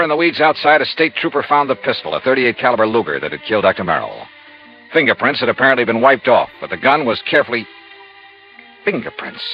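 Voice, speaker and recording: An actor playing a hard-boiled male insurance investigator in 1950s radio style, narrating drily and questioning in clipped, insistent phrases. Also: mid-range voice. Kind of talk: 1950s radio detective acting. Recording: dull vintage radio recording, music behind.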